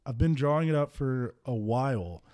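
A man's voice: mid-range voice, animated, normal speed, darkish tone. A man in his twenties, a football player co-hosting a podcast, chatting casually and telling stories with easy laughter. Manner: laid-back, storytelling, laughs easily. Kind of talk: casual chat.

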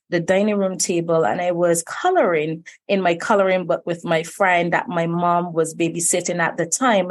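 A woman tells her childhood story as a guest on a podcast. Her voice sits on the low side, and she speaks in an earnest, reflective way. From Barbados. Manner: earnest, reflective, with frequent "you know". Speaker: guest, life purpose coach. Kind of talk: personal storytelling in a podcast interview.

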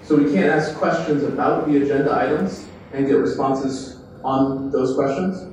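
A man's voice: medium pitch, normal speed, a little mellow. A man at a public meeting putting pointed, pressing questions to officials. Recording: echoey, noisy meeting audio from far off.

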